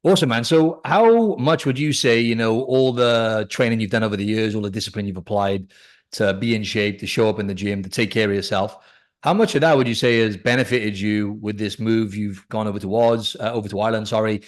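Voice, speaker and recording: A man's voice: on the low side, fairly quick. A podcast host from Wales, a man with a Welsh accent that has picked up some Australian twang, chatting in an upbeat, chummy way and calling his guest bro and mate. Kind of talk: casual chat.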